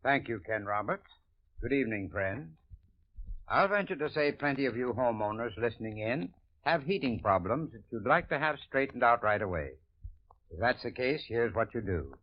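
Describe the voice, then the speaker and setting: A man's voice: medium pitch, lively intonation, moderate pace. A man reading a scripted radio advertisement in a friendly, persuasive manner. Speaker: sponsor spokesman. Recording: dull old radio recording.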